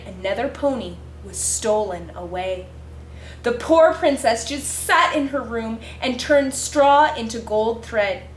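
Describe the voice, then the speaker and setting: A woman reads a children's storybook aloud in a high, very animated voice. She performs it girlishly, playing up every reaction. The room has a clear echo, with faint music behind her. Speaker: teacher reading a picture book aloud. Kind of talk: dramatic storybook read-aloud in character.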